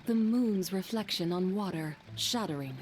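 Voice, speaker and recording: A woman voicing a composed, learned mystic adept in a measured, formal and poetic manner. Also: medium pitch, lively intonation, a little mellow, a little hiss or hum, natural voice. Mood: solemn.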